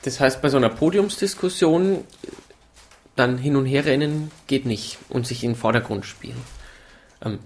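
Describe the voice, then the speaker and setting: A man's voice: medium pitch, normal speed. A man with a slight cold, co-hosting a casual chat and teasing his partner. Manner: teasing, joking, laughs often. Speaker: podcast co-host. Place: indoor room with a camera.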